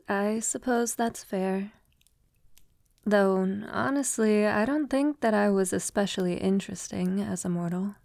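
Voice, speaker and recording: A woman playing an affectionate centuries-old vampire lover in an intimate ASMR roleplay, speaking softly and formally, often slipping toward a breathy whisper. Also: mid-range voice, dry recording, clean studio sound. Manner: soft, tender, unhurried, often breathy near-whisper; formal, elegant wording.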